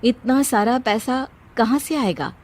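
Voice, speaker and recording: A woman's voice: on the high side, animated, unhurried, darkish tone. A woman storyteller reading scripted narration for a cartoon family story.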